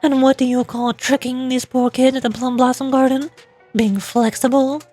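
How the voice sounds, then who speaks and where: A woman's voice: sits high, little pitch movement, moderate pace, dark tone, soft background music. A woman voicing a strict, indignant female gang officer from a game, with a clipped, commanding manner. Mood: indignant, severe.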